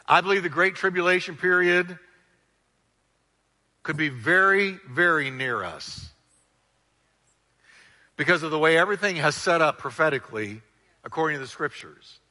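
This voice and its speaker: Man, pastor, loud emphatic sermon voice, slightly high pitch, dramatic pauses, long church-hall reverb. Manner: emphatic preaching with dramatic pitch swings and slow stressed words; calls on the congregation to repeat phrases.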